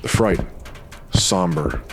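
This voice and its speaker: A man with a very deep voice reading scripted noir narration as a storyteller. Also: animated, takes it slowly. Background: music.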